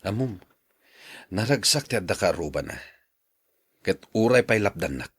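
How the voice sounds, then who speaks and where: A man playing a sociable, easygoing husband in an Ilocano audio drama, genial and expressive, with an Ilocano accent. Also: on the low side, normal speed, slightly rough, no echo, clean studio sound. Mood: easygoing, persuasive. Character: sociable, easygoing husband who loves neighborly company.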